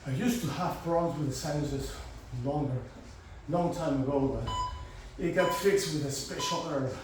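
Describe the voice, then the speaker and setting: A man's voice: fairly high pitch, measured pace, very echoey, far from the mic, poor audio. A man with a congested, blocked-nose voice, coaching a home garage workout and cheering viewers through their reps. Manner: energetic, encouraging, counting reps. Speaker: fitness coach. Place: garage gym.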